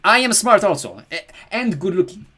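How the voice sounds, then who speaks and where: A man voicing a slow-witted, vain young male demigod warrior who speaks hesitantly. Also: high voice, very animated, bright, forceful tone, voice pushed up, exaggerated voice.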